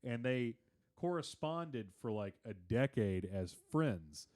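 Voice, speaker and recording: Male podcast co-host, mid-pitched. Explains at length in loose, joking chat, with casual swearing. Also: lively intonation, slow, darkish tone. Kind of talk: casual chat, comedic banter.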